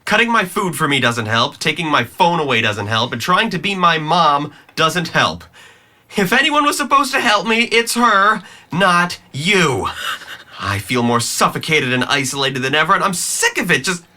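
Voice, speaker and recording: A man's voice: a little high, sing-song, moderate pace, sharp tone, exaggerated voice. An actor playing a depressed, sarcastic young man who bristles at his family in an audio drama. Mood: furious, explosive. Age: young adult. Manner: biting, sarcastic.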